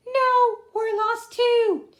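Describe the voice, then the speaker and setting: A man voicing a small, timid young rabbit in a squeaky falsetto. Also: little pitch movement, extremely slow, fairly bright tone, heavily acted. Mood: lost, plaintive.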